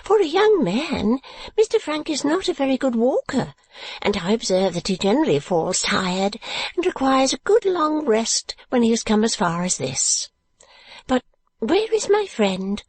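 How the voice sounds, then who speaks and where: A sly, chatty little lady as a woman narrator plays her, lifted high in pitch. She is arch and teasing in a storybook reading, with lively swings of tone. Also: a little slow, breathy edge, no room sound, heavily acted. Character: sly, chatty little spinster friend, good-humoured. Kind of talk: dramatised classic novel reading.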